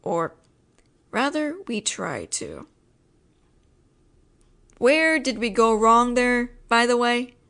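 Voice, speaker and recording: A woman's voice: a little high, very animated, takes it slowly, pauses often, a bit bright, full character voice. A woman playing an exasperated but apologetic mafia boss in a roleplay audio drama, with a wry, sighing, slangy way of talking. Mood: wry, explaining.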